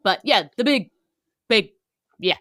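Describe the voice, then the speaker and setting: A woman's voice: medium pitch, animated, slow pace, pushed and bright, no echo. A small-town barkeep acted by a woman, in a tabletop role-playing game. The talk is informal and hesitant, full of local know-how, in a dry close recording. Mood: hedging, explaining. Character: chatty male small-town barkeep, protective of local reindeer.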